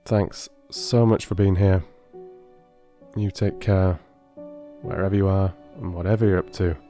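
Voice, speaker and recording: A man speaking as an audiobook narrator and producer, in a measured, courteous storytelling style. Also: sits low, fairly even intonation, dark tone, faint music behind. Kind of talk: measured storytelling and listener address.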